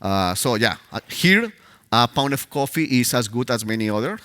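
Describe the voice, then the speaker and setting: A man's voice: medium pitch, big pitch swings, moderate pace, a bit bright. A man with a Spanish accent giving a live conference talk, hesitant, with many fillers and restarts, Spanish as his first language. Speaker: conference speaker. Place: conference stage.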